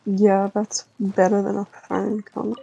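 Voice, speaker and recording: A woman's voice: medium pitch, completely flat delivery, takes it slowly, creaky, warm tone, breathy edge, dull audio. A woman chatting casually on a livestream, blunt, sweary and dryly self-mocking. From Australia. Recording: music playing behind the voice. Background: video game music.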